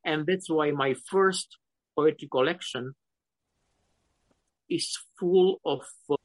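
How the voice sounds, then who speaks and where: A man in his fifties with a Romanian accent, answering interview questions slowly and haltingly, often pausing to search for words. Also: a little high, dry recording. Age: 50s. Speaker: poet guest.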